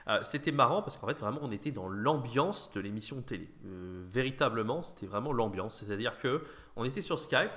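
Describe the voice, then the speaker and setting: Male online host, informal and slightly nasal, rapid casual commentary with a bright, forward tone. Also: medium pitch, animated. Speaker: YouTube host, online French teacher trainer. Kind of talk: casual chat, reaction commentary.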